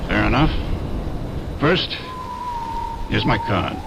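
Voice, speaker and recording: An actor in his fifties playing a confident former commando who smoothly persuades a young man, in a radio drama. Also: lowish voice, lively intonation, takes it slowly, background noise, full character voice. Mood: persuasive. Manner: assured, persuasive. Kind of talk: 1970s radio drama acting.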